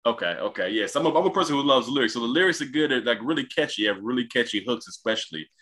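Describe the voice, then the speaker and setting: A man's voice: mid-range voice, lively intonation, quick pace, fairly bright tone. A man interviewing a musician, enthusiastic and casual, stumbling over his words as he asks questions. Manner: enthusiastic, stammering restarts, casual slang.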